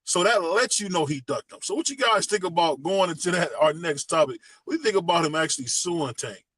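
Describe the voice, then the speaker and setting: A man's voice: fairly high pitch, lively intonation, brisk, fairly bright tone, no echo. A man hosting a casual boxing talk show, emphatic and repeating himself. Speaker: host. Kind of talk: casual sports chat.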